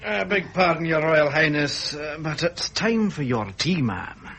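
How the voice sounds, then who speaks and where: An actor playing a self-assured, solicitous royal manservant with a Scottish accent, in vintage British radio drama. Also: male voice, on the high side, lively intonation, unhurried, a bit bright, a little hiss or hum. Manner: deferential yet familiar, coaxing.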